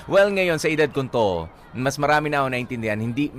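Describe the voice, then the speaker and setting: A man's voice: mid-range voice, expressive, brisk. A middle-aged man co-hosting a radio show, speaking hesitantly with many fillers and defending himself in good humor. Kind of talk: Taglish radio banter about a listener's story.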